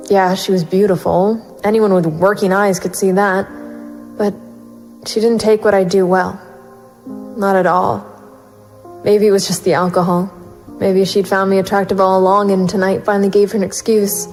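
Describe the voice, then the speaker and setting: A woman's voice: medium pitch, darkish tone, natural voice. A woman playing a brooding, conflicted private investigator narrating a noir audio drama. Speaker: narrator and lead actor.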